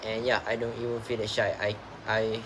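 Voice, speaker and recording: Halting young man, flat low voice. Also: noisy background. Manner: halting, repeats words while searching. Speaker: student presenter. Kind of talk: personal reflection in a class presentation.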